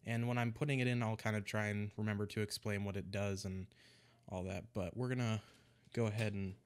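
A young man casually walking through a recipe in a home vlog, sounding a little congested, relaxed and rambling, often restarting his words. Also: lowish voice, brisk, smooth. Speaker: e-liquid mixing vlogger. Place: small room at home, indoors. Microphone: desk microphone in front of him.

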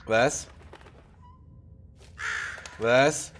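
A man with a stuffy nose from a cold, musing haltingly through a puzzle game with plenty of fillers. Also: medium pitch, fairly even intonation, fairly bright tone. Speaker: let's play gamer. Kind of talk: casual puzzle game commentary.

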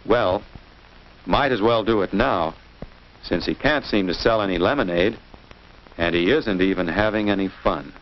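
A man's voice: low voice, normal speed, long pauses. An American man reading scripted narration for a 1950s children's instructional film, in a didactic way, putting questions to young listeners. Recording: muffled, noisy old 1950s film soundtrack.

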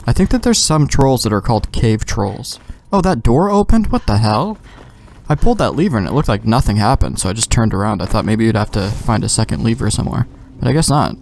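A man's voice: mid-range voice, sing-song, a little fast, gravelly, a little mellow, slight background noise. A young man giving offhand, slangy commentary as he plays a video game, talking to himself. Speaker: gamer, let's play host.

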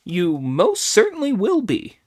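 A man voicing a brash, cheeky monkey king who talks high and loud in an audiobook. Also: huge pitch swings, unhurried, exaggerated voice. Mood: bossy. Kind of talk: audiobook character voice.

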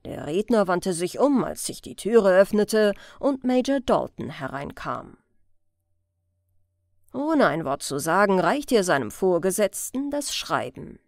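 Female story narrator, measured slow pace, scripted audiobook read, dry studio-like sound. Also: medium pitch, very animated, darkish tone, no echo.

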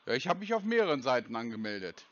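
A man's voice: medium pitch, big pitch swings, fast, fairly bright tone. Dry, mocking man on a phone line. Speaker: scam-baiter taking a call. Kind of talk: phone prank call. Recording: muffled phone call audio.

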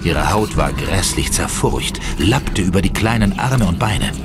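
A man narrating a horror audio drama in a deliberate, ominous and dramatic manner. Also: sits low, lively intonation. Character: grave narrator of a horror tale. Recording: loud music bed under voice. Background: loud dramatic music.

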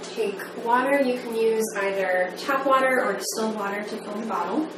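A woman in her thirties giving a measured, step-by-step training demonstration in the manner of a methodical instructor. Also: on the high side. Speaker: medical device trainer. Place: small plain indoor room. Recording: echoey room, distant mic, background noise.

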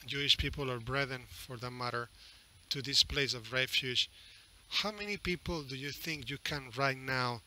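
A middle-aged man conducting an informal interview in an earnest, halting way. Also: medium pitch, bright, forceful tone, a little hiss or hum, rough recording. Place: outdoors under a large canopy. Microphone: headset.

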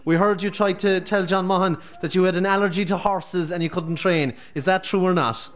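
A middle-aged Irish radio host interviewing guests live from a busy pub on a close handheld mic. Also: male voice, high voice, fairly even intonation, fairly bright tone. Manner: brisk, leading questions.

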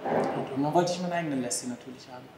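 A man in his thirties, originally from Afghanistan, talking in a lively, animated way as an interview guest, acting out little scenes. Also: medium pitch, racing, noisy background. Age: 30s. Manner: lively, animated, acts out dog owners' exclamations. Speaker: dog behavior therapist, guest. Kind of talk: casual interview talk. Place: large empty restaurant hall, indoors. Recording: echoey hall.

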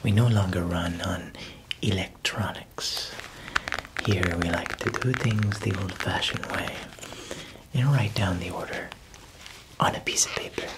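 A man's voice: low-pitched, poor audio. A man doing a whispering, chuckling baker. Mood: playful. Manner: whispered, slow, with soft chuckles; fumbles for words as if foreign. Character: chuckling male baker, proud of his goods. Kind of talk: ASMR roleplay.

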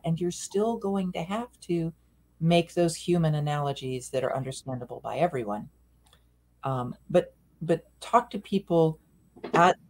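An older woman, a dog behavior consultant, chatting earnestly and self-deprecatingly on a video call from a room at home. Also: low voice. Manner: earnest, self-deprecating, gestures while talking. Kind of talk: casual video-call chat.

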